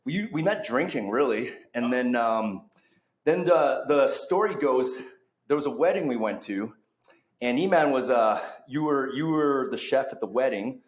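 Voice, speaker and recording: A man's voice: medium pitch, animated, unhurried. Guy hosting a podcast, chatty and rambly, lots of uh and um, echoey space. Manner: rambling, many fillers and restarts. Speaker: podcast host. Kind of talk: casual chat. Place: bar under construction. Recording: echoey room.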